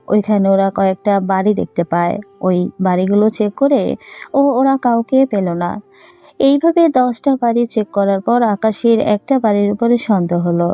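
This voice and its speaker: A woman's voice: medium pitch, moderate pace, mellow, no echo, lo-fi sound, faint music behind. A woman narrating a scripted audio story.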